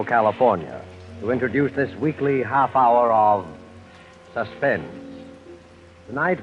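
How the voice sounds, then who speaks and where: A man announcing a suspense drama in formal, dramatic 1940s radio style. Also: mid-range voice. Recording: dull vintage radio recording. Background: music.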